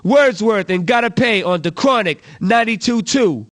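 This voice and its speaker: A man's voice: sits high, expressive, moderate pace, a bit bright. A man playing a brash, cocky hip-hop radio DJ with punchy, slangy, playful patter. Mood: hyped, cocky.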